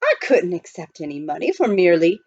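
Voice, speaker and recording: A woman's voice: fairly low pitch, big pitch swings, fairly quick, darkish tone, breathy edge, no echo, muffled recording, heavily acted. A woman voicing a courteous, proper male butler with formal manners in a storybook reading. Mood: flustered, formal. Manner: formal, polite. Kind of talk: children's storybook read aloud.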